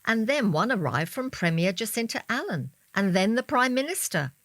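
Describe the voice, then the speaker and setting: A woman's voice: medium pitch, sing-song, normal speed, dry recording, close to an everyday voice. A woman reading the quoted remarks of a proud adult daughter in a news feature. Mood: pleased. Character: adult daughter proudly recounting her father's birthday.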